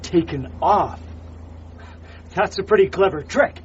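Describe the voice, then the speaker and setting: A dogged American reporter in a radio play. His voice is slightly high and sardonic, with an American accent, muffled over faint vehicle noise. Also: measured pace. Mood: sceptical, sardonic. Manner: sardonic, informal. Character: dogged, sceptical American newspaperman, wry and dry. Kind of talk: radio drama acting.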